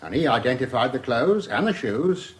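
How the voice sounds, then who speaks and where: A man's voice: medium pitch, sing-song, moderate pace, lightly acted. A man playing a methodical, deferential English police inspector giving careful, factual evidence in a radio drama. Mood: dutiful, factual.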